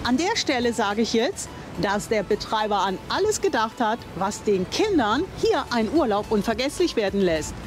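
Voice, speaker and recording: A woman's voice: fairly high pitch, sing-song, moderate pace, few pauses, over music. Chatty older woman touring outdoors. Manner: chatty, enthusiastic, thinks aloud and corrects herself. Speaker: vlogger touring a campsite. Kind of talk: casual on-camera tour commentary. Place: outdoors at a campsite playground.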